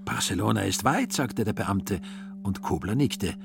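A man's voice: fairly low pitch, expressive, a little slow, creaky, over music. A man narrating a literary radio play as a scripted storyteller.

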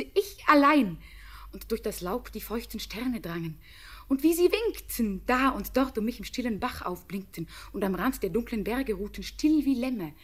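An actress playing a young village woman who talks softly and earnestly in a classic radio play. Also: fairly high pitch. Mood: dreamy. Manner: soft, earnest, sometimes whispered.

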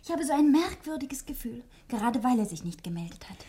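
An affectionate young niece figure in a vintage radio drama. Her voice is fairly high and soft, slipping into breathy, confiding tones. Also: sing-song, fairly quick. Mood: anxious. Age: young adult. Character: affectionate young woman, modest, anxious for her sweetheart. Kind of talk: 1960 German radio play acting.

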